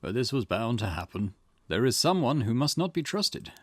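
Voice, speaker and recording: A man's voice: medium pitch, very animated, normal speed, no room sound, voice pushed down. A man voicing a mysterious game storyteller who addresses the player in a theatrical, formal, knowing way.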